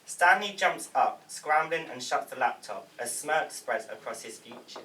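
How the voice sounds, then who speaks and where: A man reads stage directions from a script in a slightly halting way. His pitch is average, and he sounds distant from the microphone with faint room noise behind him. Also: lively intonation, pushed and bright. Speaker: stage directions reader at a table read. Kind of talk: read-aloud script narration.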